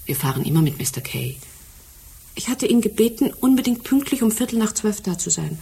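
An actress playing a composed, precise secretary in a German radio crime drama, speaking in a measured, polite way. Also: female voice, medium pitch, big pitch swings, vocal fry, warm tone, breathy edge, exaggerated voice. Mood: factual, earnest. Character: composed, precise female secretary giving a statement.

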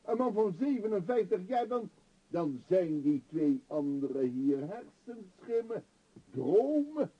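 Husky middle-aged tramp in an old radio play, slow talker, wounded and theatrical. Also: male voice, high-pitched, very animated, highs cut off, full character voice. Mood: desperate insistence. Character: destitute middle-aged male vagabond, wounded, stubbornly insistent. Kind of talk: radio drama acting.

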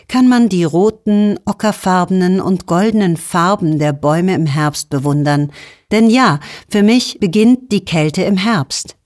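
A woman's voice: fairly low pitch, no room sound, clean studio sound. A woman from Mexico, a native Spanish speaker, reading a scripted first-person column in German as narration. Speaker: magazine author reading her column.

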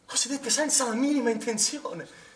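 A man's voice: very high-pitched, moderate pace, reverberant, recorded from a distance. An actor playing an accused young male swimming instructor, defensive and indignant, in naturalistic stage drama. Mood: pleading, defensive.

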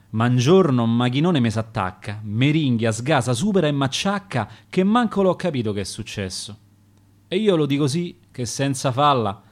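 A man with a Roman accent reciting his own dialect sonnets for radio in a rhythmic, dramatic way. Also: mid-range voice. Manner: rhythmic, dramatic verse delivery.

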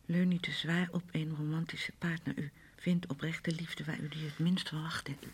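An actress playing a fragile, needy wife in a radio play, childishly insistent and pleading, with a wandering way of talking. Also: female voice, low-pitched, flat delivery, moderate pace, a little hiss or hum, natural voice. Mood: absorbed, murmuring. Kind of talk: Dutch radio play acting.

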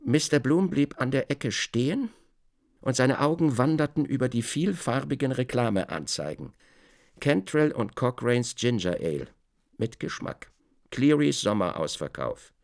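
An actor playing a literary storyteller, narrating a radio drama in a creaky voice. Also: male voice, medium pitch, very animated, unhurried, darkish tone. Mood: measured storytelling. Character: literary storyteller, also voicing the protagonist's brief remarks.

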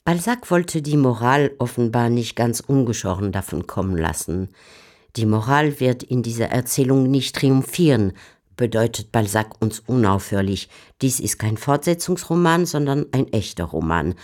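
A woman speaks as a commentator in a radio play. Her delivery is scripted and literary, measured and reflective. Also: a bass voice, expressive, darkish tone.

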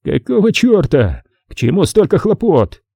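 A man's voice: high voice, big pitch swings, unhurried, gravelly, warm tone, no room sound, clean studio sound, voice pushed up, exaggerated voice. A man voicing a hot-tempered, impatient gangster in a story, with a coarse, exasperated manner. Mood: annoyed.

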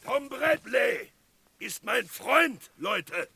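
An actor playing an impassioned, torn Western sheriff in a radio drama, declaiming in drawn-out phrases to his townsfolk. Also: lively intonation, gravelly, heavy background noise, exaggerated voice. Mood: anguished, insistent. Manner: declamatory, drawn-out, swinging from shouts to low firmness. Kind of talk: theatrical radio drama acting.

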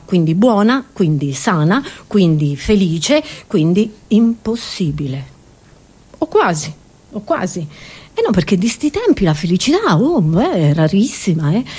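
A woman's voice: mid-range voice, big pitch swings, unhurried, a little mellow, husky. A woman performing a theatrical comic monologue to an audience, scatterbrained and exclamatory, often breaking off and repeating her words.

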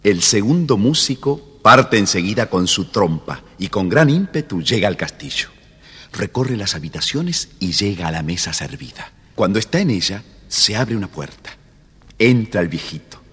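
Male narrator, husky, expressive range, dramatic scripted storytelling, long reverb. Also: lowish voice, moderate pace. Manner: dramatic, carefully phrased storytelling. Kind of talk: scripted children's fairy tale narration.

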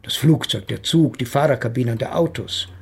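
An actor playing a measured, sceptical psychotherapist in a radio play, speaking in a formal, pedantic, hedging manner. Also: male voice, medium pitch, creaky, slightly rough. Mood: analytical. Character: measured, sceptical male psychotherapist analysing his patient.

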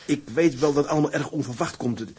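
An actor playing an earnest, restless young tugboat mate in a radio drama, with a husky voice. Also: male voice, on the high side, fast, a little mellow. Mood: earnest, torn.

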